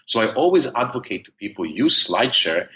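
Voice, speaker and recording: A man's voice: mid-range voice, sing-song, a little fast, pushed and bright, poor audio. A man with a thick Polish accent, talking at length as a podcast guest, with many restarts and fillers. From Poland. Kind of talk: conversational interview.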